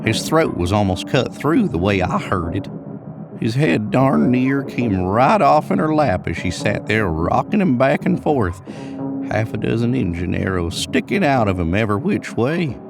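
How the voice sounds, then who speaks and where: A man's voice: mid-range voice, big pitch swings, moderate pace, vocal fry, music in the background, pitched above the performer's own voice. A man voicing a craggy, sly cowboy outlaw who tells campfire tales in a folksy frontier drawl for a Western audio story. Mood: grim relish.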